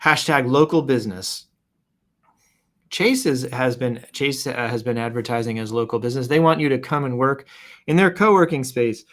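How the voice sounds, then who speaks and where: A man's voice: mid-range voice, moderate pace, a bit bright. A man hosting a show, casually reading posts aloud and improvising commentary with playful announcer flourishes and wry asides.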